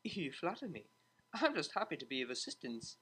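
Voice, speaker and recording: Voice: mid-range voice, big pitch swings, fairly quick, pushed and bright, a little hiss or hum. A narrator voicing a refined young cellist mare who speaks formally and with reserve. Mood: polite, modest. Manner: formal, reserved, precise wording.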